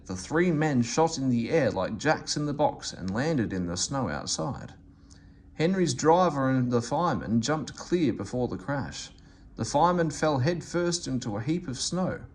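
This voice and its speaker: A man reading a children's story aloud in an expressive, animated way. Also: mid-range voice, unhurried, a little hiss or hum. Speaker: storybook reader.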